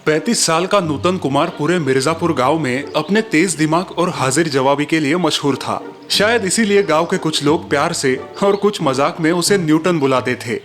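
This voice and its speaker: A man narrates a scripted comic folk tale. His voice sits a little high for a man, and music runs underneath. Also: normal speed, hardly any pauses.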